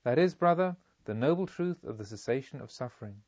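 A man reading as a serene, revered spiritual master, solemnly and deliberately teaching a disciple in an audiobook. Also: medium pitch, lively intonation, darkish tone, no echo, lo-fi sound, lightly acted. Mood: solemn, emphatic. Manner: solemn, emphatic, rhetorical, deliberate.

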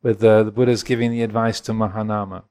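A man in his sixties from England, a Buddhist monk giving a gentle, reflective dharma talk and answering questions at a retreat. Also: lowish voice, little pitch movement, quick pace, clear, resonant tone. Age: 60s. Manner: gentle, reflective, chuckles often.

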